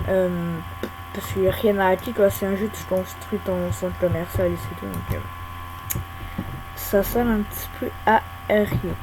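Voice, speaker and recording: A young woman giving casual, hesitant gameplay commentary at her home computer, full of pauses and filler sounds. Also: lowish voice, expressive. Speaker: gaming YouTuber. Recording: hum and whirring computer fan noise.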